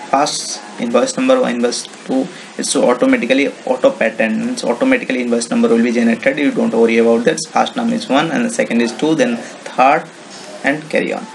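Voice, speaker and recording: Gravelly Indian-accented man giving a tutorial. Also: mid-range voice. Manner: non-native English phrasing, repeats himself. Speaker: software demonstrator. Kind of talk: informal screen-recorded tutorial. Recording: noisy home-made screen recording.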